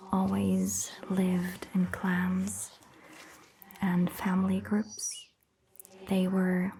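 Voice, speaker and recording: A woman whispers gently and with long pauses in an ASMR roleplay, playing a soothing vampire doctor close to the microphone. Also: medium pitch, gravelly, slight background noise. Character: attentive, soothing female vampire doctor, centuries-old clan member.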